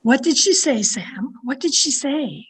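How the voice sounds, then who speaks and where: A woman's voice: sits high, expressive, unhurried. A woman playing an anxious, domineering wife, demanding and fretful, in old time radio horror style. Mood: demanding, agitated. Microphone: video call.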